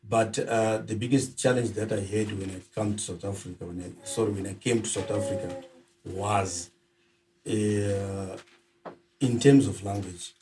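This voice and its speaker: A man's voice: lowish voice, a little slow, far from the mic, poor audio. A middle-aged man from Zimbabwe, a podcast guest, talking in a conversational way with many ums and uhs. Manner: hesitant, many filler sounds. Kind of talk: conversational interview.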